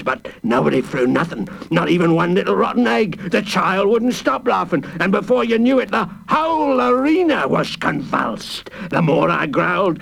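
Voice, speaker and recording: A man's voice: vocal fry, a bit bright, exaggerated voice. A man voicing a blustering fanged villain who was once a wrestler and now runs a prison, with growls and stammers. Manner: growling, stammering with ums, bursts of booming laughter. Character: blustering hooded wrestler villain warden with fangs.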